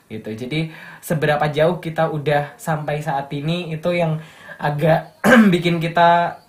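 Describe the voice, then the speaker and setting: A man's voice: on the high side, unhurried. Upbeat young Javanese man, casual chat. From Malang, East Java. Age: young adult. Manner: chatty, upbeat, motivational. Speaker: guest, radio announcer.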